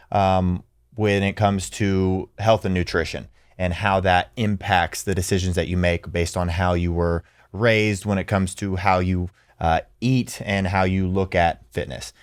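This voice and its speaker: A man's voice: low-pitched, smooth, no echo, studio quality. A man hosting a podcast, talking in a loose, easygoing way with frequent ums and likes. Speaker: podcast host. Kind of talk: casual podcast interview.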